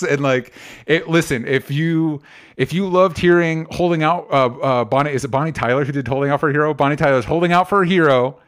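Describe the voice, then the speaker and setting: A man's voice: mid-range voice, a bit bright. A man co-hosting a film review show, chatting casually and argumentatively, swearing freely and acting out imagined exchanges to press a point.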